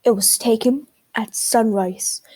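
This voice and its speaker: A woman's voice: on the high side, slow pace, mellow, slightly rough. A woman voicing a fussy, emphatic owl in a children's storybook, often questioning. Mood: emphatic, surprised. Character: fussy, emphatic owl witness at a cake contest.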